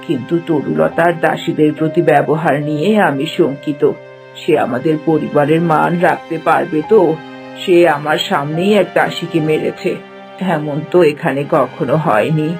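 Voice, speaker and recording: A man voicing a kind, dignified middle-aged queen mother in a cartoon, with a gentle, measured manner. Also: fairly high pitch, fairly even intonation, creaky, faint music behind. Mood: concerned, motherly. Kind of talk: cartoon voice acting.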